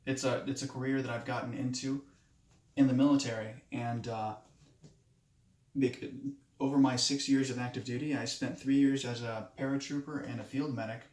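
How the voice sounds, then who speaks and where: A man's voice: medium pitch, steady tone, darkish tone. Hesitant young man in an echoey room. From Moldova. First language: Romanian. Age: 20s. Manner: hesitant, halting, many restarts. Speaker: student. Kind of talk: self-introduction speech.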